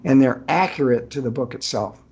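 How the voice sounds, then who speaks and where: A man's voice: medium pitch, brisk, darkish tone, slightly rough, highs cut off, soft background music. Easygoing mature man in casual conversation. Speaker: author guest. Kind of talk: casual interview chat.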